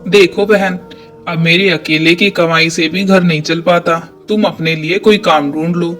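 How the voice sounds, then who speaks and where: A man's voice: on the high side, fairly even intonation, a little slow, a bit bright. A man voicing an unwelcoming, struggling brother with a blunt, dismissive manner in a cartoon. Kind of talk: cartoon dialogue. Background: background music.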